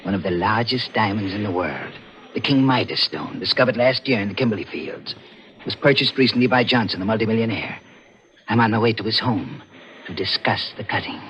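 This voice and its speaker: A man's voice: lowish voice, a little fast, lightly acted. An actor playing a proud, courteous man in his seventies, a diamond cutter with an ominous streak, savouring his phrases theatrically in 1940s radio thriller style. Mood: proud, eager. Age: 70s. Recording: muffled, noisy vintage radio recording.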